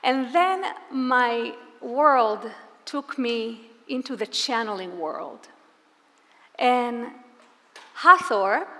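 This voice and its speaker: A woman with a somewhat high voice addresses a live audience as a presenter. She tells her story earnestly and asks questions of the room. Her voice carries a long reverb from a large hall. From Israel. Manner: earnest, warm-spoken storyteller with rhetorical questions.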